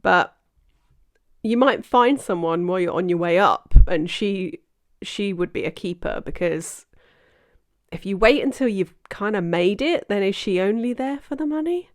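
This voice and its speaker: A woman's voice: medium pitch, expressive, normal speed, no echo, studio quality. Blunt British-born woman in her forties. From Britain. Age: 40s. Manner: blunt, opinionated, mild swearing. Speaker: reaction video host. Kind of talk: casual commentary over clips.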